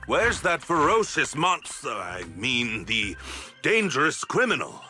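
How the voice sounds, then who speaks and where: An actor playing a vain, self-serving police inspector in a game, with a raspy, excitable voice. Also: male voice, mid-range voice, unhurried, soft background music, exaggerated voice. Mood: pompous. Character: vain, self-serving male police chief, middle-aged.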